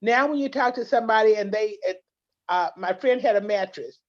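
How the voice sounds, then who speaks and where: A woman's voice: medium pitch, brisk. A woman, a guest in an interview, telling earnest stories with many restarts. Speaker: guest, legal plan representative. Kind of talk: interview conversation. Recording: dull lo-fi sound.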